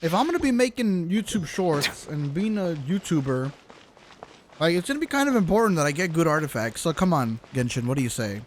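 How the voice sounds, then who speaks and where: A man's voice: a little high, big pitch swings, a little fast, a little hiss or hum. A man livestreaming a game, chatting casually in a jokey, exclamatory way with plenty of swearing. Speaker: game live streamer. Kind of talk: casual livestream chat while playing a game.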